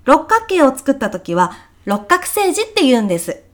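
A woman's voice: high-pitched, normal speed. A woman playing a chirpy, polite but gossipy kindergarten teacher in a comedic radio drama. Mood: gleefully gossipy. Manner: polite, effusive, animated. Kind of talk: comedic short radio drama, phone meeting.

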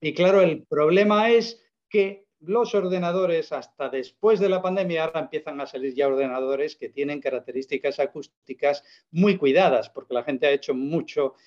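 A man's voice: fairly high pitch, moderate pace, hardly any pauses, darkish tone, lo-fi sound. A man, giving an emphatic, persuasive explanation full of rhetorical questions in a casual interview. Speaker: guest presenting a product.

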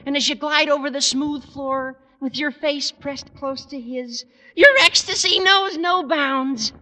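An actor playing an eager, scheming high school boy with a squeaky adolescent voice and earnest, dramatic persuasion in old radio comedy style. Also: a little slow, sharp tone, exaggerated voice, poor audio. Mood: dreamy, rapturous. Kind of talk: 1940s-50s radio comedy acting.

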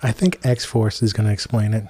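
Mellow laid-back man co-host. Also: on the low side, fairly even intonation, moderate pace, slightly rough. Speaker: podcast co-host. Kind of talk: casual movie chat.

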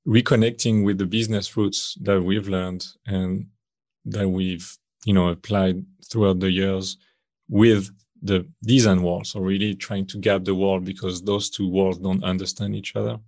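A deep, gravelly man with a French accent speaks as a podcast guest, rambling easily with lots of fillers. His tone stays level and flat, and the sound is close and dry. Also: no echo. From France. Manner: relaxed, rambling, many fillers. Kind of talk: casual conversational interview.

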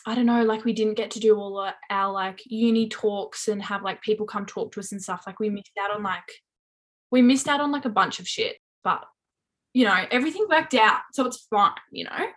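A woman's voice: medium pitch, brisk. A twenty-year-old woman from Tasmania with an Australian accent, a guest on a podcast, talking candidly with lots of "like" and casual swearing. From Hobart, Tasmania. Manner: chatty, candid, frequent "like" fillers, swears casually. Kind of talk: casual chat.

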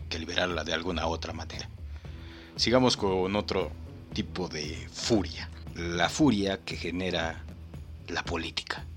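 A man hosting a podcast with a low voice and a bright, forceful edge. He comments on clips in a mock-solemn, playful tone and speaks straight to the listener, with faint music underneath. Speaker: podcast host.

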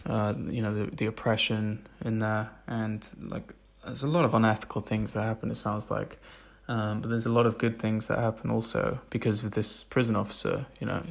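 A man with a deep voice, a podcast host, giving a casual, hesitant introduction full of fillers. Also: vocal fry. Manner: hesitant, many fillers and restarts.

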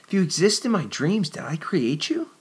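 A man reading aloud, voicing an excitable, dreamy young woman with a high, breathless, stammering voice. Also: normal speed. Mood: wonderstruck.

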